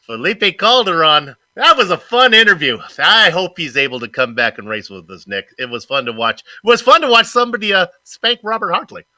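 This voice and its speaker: A man hosting a sim racing broadcast, upbeat and energetic in his announcer delivery. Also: sits high, fairly quick, few pauses, dry recording. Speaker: broadcast commentator and host. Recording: music bed playing under voice. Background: loud music.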